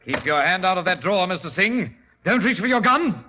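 An actor playing a loyal, earnest older gentleman sidekick in formal, halting 1940s radio drama style. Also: sits high, big pitch swings, slight background noise, heavily acted. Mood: stern, earnest. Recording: old radio recording with music.

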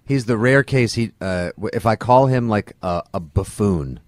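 A man's voice: on the low side, animated, moderate pace, no echo, studio quality. A man co-hosting a sports podcast in casual banter, with a wry, sarcastic way of talking.

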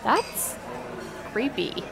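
A young woman playing an uneasy high school girl in a naturalistic audio drama. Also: extremely slow, over music, a crowd in the background.

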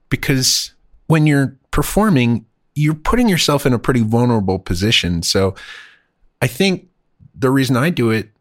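A man's voice: mid-range voice, no echo, studio quality. A man chatting casually as a podcast co-host, rambling and acting out other people's voices. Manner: animated, rambling, acts out voices. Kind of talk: casual chat.

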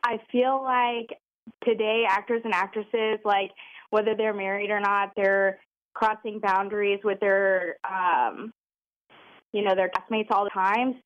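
A woman calling in by phone, giving her opinion in a measured, unhurried way. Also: mid-range voice, fairly even intonation. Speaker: caller. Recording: muffled phone line.

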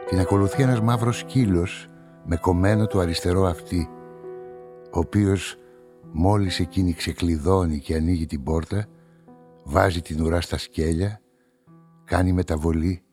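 A deep-voiced, brooding male narrator. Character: brooding, solitary male newspaperman narrating a murder story. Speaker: narrator and protagonist of a radio play. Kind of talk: literary first-person radio drama narration.

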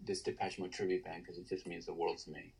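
A man in his thirties with a baritone voice, telling stories with enthusiasm and lots of restarts in a casual podcast interview. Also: little pitch movement, quick pace, vocal fry, a little mellow, breathy edge. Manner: enthusiastic storyteller, many restarts. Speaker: guest, tribute band singer.

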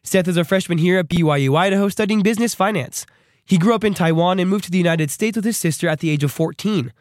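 A man's voice: high voice, fast talker, dry recording. A young man hosting a student radio interview in a studio, upbeat and asking short questions. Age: young adult. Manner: upbeat, brief prompts.

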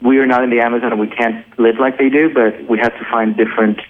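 A man's voice: medium pitch, level tone, fast talker, a little hiss or hum. A man from Colombia, a documentary producer speaking earnestly as a radio guest and often restarting his sentences. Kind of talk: casual radio interview. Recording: narrow phone-like radio sound.

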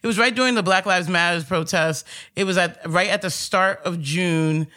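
A woman with a deep, raspy voice talks about her comedy in a loose, rambling way. Her speech is full of ums and restarts, and her tone has a bright edge. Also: moderate pace. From Astoria, New York. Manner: rambling, many fillers and restarts. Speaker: stand-up comedian, guest. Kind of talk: casual interview chat.

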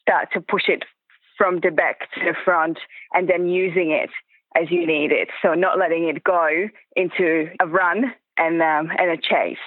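A woman's voice: on the low side, steady tone, normal speed, dry recording, phone-quality sound. A woman from the Czech Republic with a Czech accent, chatting as an interview guest and coach, often repeating words for emphasis. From Czech Republic, Prague. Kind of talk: casual interview chat.

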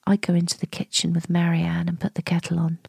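A woman playing a weary, grieving middle-aged niece in an intimate radio drama monologue, breathy, hushed and deliberate. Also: fairly low pitch, completely flat delivery, no echo, full character voice. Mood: hushed, tense. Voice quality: breathy, whispery.

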